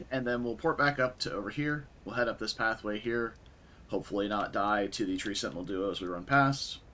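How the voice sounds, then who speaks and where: A young man with a raspy voice from a concert the night before, casually commentating a game stream and chatting with viewers. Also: fairly low pitch, fairly quick, slight background noise. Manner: relaxed, chatty, answers chat.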